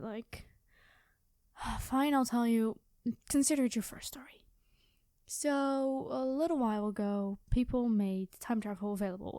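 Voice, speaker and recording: A woman's voice: a little high, mellow, no echo, clean studio sound, close to an everyday voice. A woman playing a cheeky, secretive young time traveler in a casual, chatty ASMR storytelling roleplay. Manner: casual, chatty, conspiratorial, playful.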